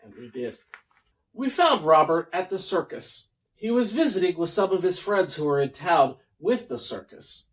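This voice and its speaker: A host reading a comic children's storybook aloud in a theatrical, mock-earnest way with deliberate pauses. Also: medium pitch, big pitch swings, rough recording.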